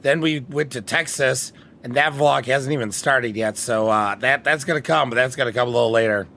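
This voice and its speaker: A man's voice, hoarse and raspy from recovery, chats loosely to a live audience. He rambles from one aside to the next in an easygoing streamer manner. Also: mid-range voice, normal speed, pushed and bright, a little hiss or hum. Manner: theatrical greeting, then rambling chatty asides. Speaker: streamer host. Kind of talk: casual live-stream chat.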